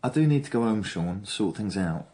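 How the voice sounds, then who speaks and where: A man's voice: on the low side, lively intonation, moderate pace, dark tone, lightly acted. An actor playing a polite but impatient male suspect, guarded and insistent, in a naturalistic radio drama.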